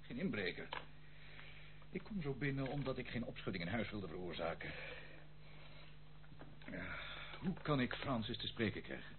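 A man's voice: lowish voice, very animated, moderate pace, long pauses. An actor playing a roguish, secretive male intruder in a radio play, nonchalant, teasing and theatrical. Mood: cagey, nonchalant. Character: roguish, secretive prodigal intruder, jaunty and sly. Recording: tinny old radio recording, faint noise.

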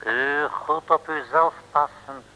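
Slow, polite, menacing henchman. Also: on the high side, sharp tone, full character voice. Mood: quietly menacing. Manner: broken pidgin Dutch, formally courteous. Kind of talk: 1960s radio serial acting. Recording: noisy old radio recording.

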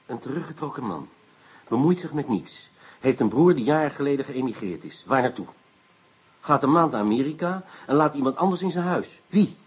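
A brusque police inspector in a radio drama. His voice is very husky and swings widely in pitch, impatient as he cuts in and repeats himself. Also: animated, brisk. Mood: pondering. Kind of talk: radio play acting.

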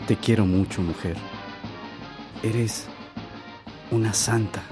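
A man's voice: lowish voice, fairly even intonation, slow. An actor playing a tender, devoted husband and father in a radio drama. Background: prominent music.

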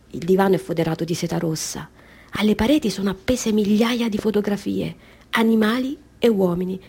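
An actress playing a quirky, eager clown woman in a radio drama monologue, halting and repeating herself. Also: mid-range voice, gravelly, a little mellow, breathy edge. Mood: dreamy. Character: quirky, eager woman circus clown listing odd talents.

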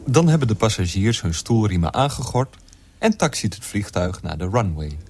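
An actor playing the formal, expressive narrator of a radio play. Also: male voice, lowish voice, normal speed, soft background music. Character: composed male flight narrator, formal storyteller.

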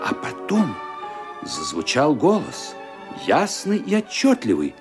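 A man's voice: a little high, wildly swooping pitch, slow pace, over music. A man narrating an audio drama in a dramatic, scripted storytelling style.

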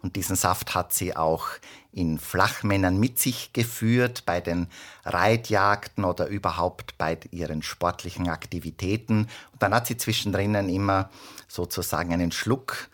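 An actor playing a matter-of-fact history expert, explaining things in a dry, assured manner in a radio interview. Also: male voice, deep voice, normal speed, fairly bright tone. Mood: explanatory.

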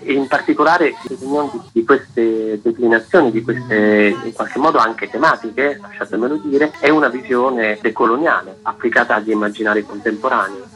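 A man's voice: medium pitch, a bit bright, low-quality recording. A man speaking as an interview guest on radio, explaining as an artistic director in intellectual, abstract language.

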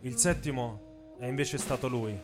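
A man delivers scripted dramatic storytelling for radio theatre in measured short phrases with emphatic stresses. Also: medium pitch. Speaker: writer and narrator of a radio theatre piece. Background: saxophone and drums music.

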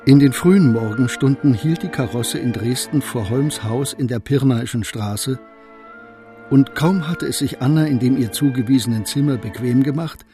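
A male narrator tells a literary story for a radio play. His voice is mellow and medium in pitch, with faint music behind the scripted narration. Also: normal speed.

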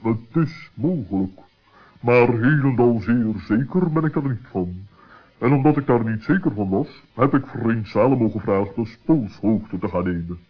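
A learned forest owl , acted by a man, husky and self-important, with a cautious, long-winded way of putting things. It sounds like a children's radio play on a dull, lo-fi recording. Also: mid-range voice, lively intonation, a little slow. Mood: solicitous, cautious. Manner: pompous, wordy, ponderous.

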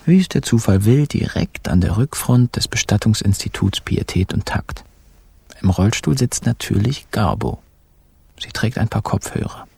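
An actor playing a wry, laconic narrator with a gravelly, very husky voice in a radio crime drama. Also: male voice, lowish voice, big pitch swings, normal speed, a little mellow, no echo, heavily acted. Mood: wry, calm. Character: wry male gang member narrating a crime tale.

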